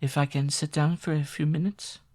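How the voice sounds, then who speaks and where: A man voicing a small, quiet young foreign woman with a gentle accent. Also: fairly high pitch, level tone, normal speed, pitched above the performer's own voice, close to an everyday voice. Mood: soft. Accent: foreign accent put on by reader.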